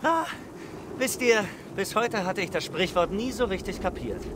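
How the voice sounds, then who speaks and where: A man's voice: high-pitched, lively intonation, moderate pace, background noise. A man playing a wisecracking soldier in a video game, wry, sarcastic and prone to grumbling. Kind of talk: video game voice acting, German dub. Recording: game music behind.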